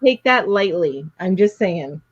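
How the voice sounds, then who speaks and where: A woman's voice: fairly low pitch, lively intonation, measured pace, muffled recording. A woman co-hosting a live crochet show over a video call, chatting casually, laughing often and greeting viewers by name. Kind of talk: casual chat on a livestream.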